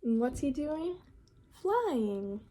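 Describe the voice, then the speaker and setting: A woman with a fairly high voice reads a picture book aloud to young children. She goes very slowly, in a teacherly manner, pausing to prompt them with simple questions. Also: sing-song, background noise. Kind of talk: storybook reading with questions to children.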